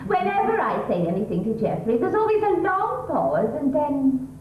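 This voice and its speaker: Posh, fluttery English wife played by a woman, stage comedy, very animated, needling and exclamatory; tinny, roomy recording. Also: high voice, normal speed, a little hiss or hum. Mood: chatty, needling. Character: chattering middle-class English wife, anxious, fluttery, needling her husband. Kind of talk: theatrical stage comedy acting. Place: theatre stage.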